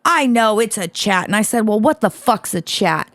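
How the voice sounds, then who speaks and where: A woman's voice: medium pitch, lively intonation, moderate pace, a bit bright, no echo. A woman co-hosting a podcast, chatting casually and bluntly, swearing freely as she tells stories. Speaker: podcast co-host. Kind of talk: casual chat.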